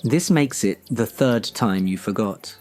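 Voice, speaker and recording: A man in his twenties playing a polite, well-off young man with a measured, proper way of talking, over soft music. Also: lowish voice, animated. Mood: dry. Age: 20s. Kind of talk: audio drama acting.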